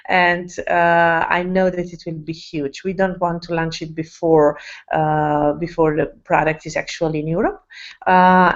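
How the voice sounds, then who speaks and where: Hesitant non-native woman, lo-fi call. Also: fairly low pitch. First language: not English. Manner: hesitant, many fillers and restarts; enthusiastic. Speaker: network marketing presenter. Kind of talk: online team presentation.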